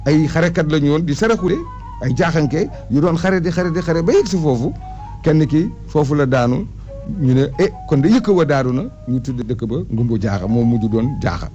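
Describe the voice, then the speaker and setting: A man's voice: fairly high pitch, lively intonation, normal speed, mellow. A man recounting history and lists of names in an emphatic, reciting way, as an oral historian in conversation. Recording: muffled lo-fi audio with music behind. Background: music.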